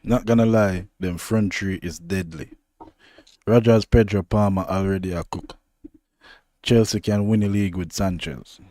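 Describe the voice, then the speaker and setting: Mellow, low-voiced Jamaican man chatting football. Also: steady tone, normal speed, no room sound. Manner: laid-back, rambling, loose patois phrasing. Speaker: podcast co-host. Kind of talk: casual football chat.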